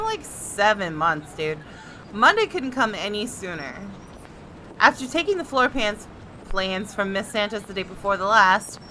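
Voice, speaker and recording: Bright, forceful woman hosting gameplay. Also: medium pitch, a little hiss or hum, rough recording. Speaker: gaming video host. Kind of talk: casual gameplay commentary and reading game text aloud. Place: small room at home. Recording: faint music behind the voice.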